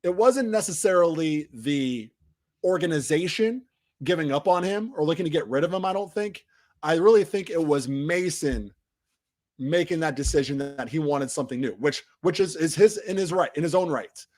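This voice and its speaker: A man's voice: on the high side, expressive, moderate pace. A man hosting a casual soccer recap stream, talking in a rambling way with many restarts, while his voice recovers from being lost. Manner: rambling, frequent restarts and fillers, trails off quietly at phrase ends. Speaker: soccer podcast host.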